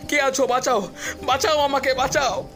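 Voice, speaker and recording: A man's voice: very high voice, normal speed, fairly bright tone, faint music behind, voice pushed up. A man voicing a terrified young brother in a horror cartoon, crying out in panic.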